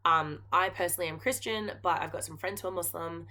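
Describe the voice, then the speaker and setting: Aussie woman chatting to camera, lowish voice but really bright, lots of ums and likes. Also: fairly quick. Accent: Australian. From Australia. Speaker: knitting podcast host and geography teacher. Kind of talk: casual chat to camera.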